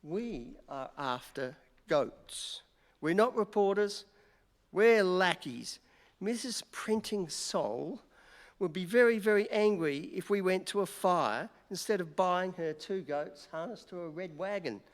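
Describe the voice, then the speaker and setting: A man's voice: sits high, lively intonation, slow pace. A man playing a tipsy, sardonic newspaper reporter in a comic radio play, mock-grand and tripping over his words. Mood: sardonic, mock-dutiful. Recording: reverberant hall.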